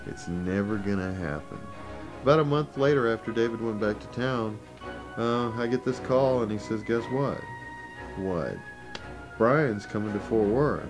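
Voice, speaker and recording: A man's voice: fairly low pitch, unhurried, rough recording. A man telling a casual anecdote, quoting both sides of remembered conversations. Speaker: audio drama team member. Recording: music behind interview speech.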